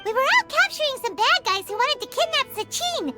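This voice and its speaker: A tiny, eager game sidekick. The voice is extremely high and squeaky, bouncing around in pitch, with clear music behind it. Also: female voice, animated, fairly quick. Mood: earnest. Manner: perky, squeaky, earnest. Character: tiny childlike female companion, eager and earnest. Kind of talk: video game voice acting.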